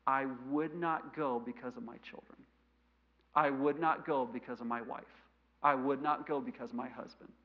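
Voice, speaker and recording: A man in his thirties with a mild stutter, preaching a sermon in a church sanctuary, animated and gesturing, swinging between loud emphasis and quiet asides. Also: mid-range voice, normal speed. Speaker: missionary preacher. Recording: lo-fi church recording.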